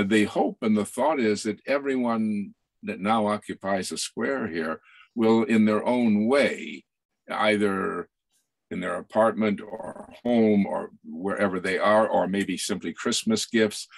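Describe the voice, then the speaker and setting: An older man with a lowish voice addresses a virtual book launch. He rambles earnestly, stopping and restarting as he urges people on. The sound is fairly dry, from a video call in a small home office. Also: no room sound. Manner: halting, rambling, earnest encouragement. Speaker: playwright introducing an author.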